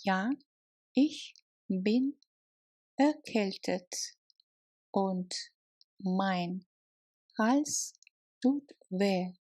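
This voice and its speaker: A woman narrating a language lesson, reading short scripted phrases deliberately and separating the words for learners. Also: medium pitch, a little mellow, no room sound, poor audio.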